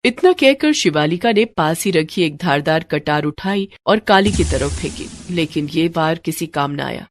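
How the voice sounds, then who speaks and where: A woman's voice: sits low, expressive, normal speed, few pauses, a little hiss or hum. A woman narrating a scripted story in a dramatic storytelling style. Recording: music bed behind the voice. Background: music.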